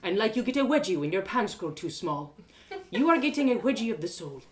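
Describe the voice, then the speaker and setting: A woman voicing a wise, gentle old village man in a storybook, speaking slowly in a measured, fatherly, philosophical way. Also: medium pitch, big pitch swings, close to an everyday voice. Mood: calm, knowing.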